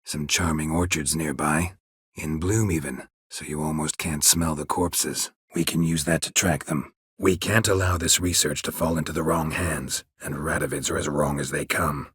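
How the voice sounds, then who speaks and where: A male actor playing a stoic, world-weary monster hunter in a video game, terse and deadpan, with a low gravelly rasp. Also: normal speed, no room sound, clean studio sound, heavily acted. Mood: deadpan, businesslike. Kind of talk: video game character dialogue.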